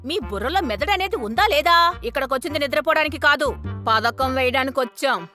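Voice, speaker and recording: A shrill, greedy, scheming sister-in-law. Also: female voice, expressive, brisk, pushed and bright. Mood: bossy scolding. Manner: dramatic, theatrical exclamations, scolds her husband. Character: greedy scheming village sister-in-law, sharp-tongued, nagging. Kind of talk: cartoon dubbing. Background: background music.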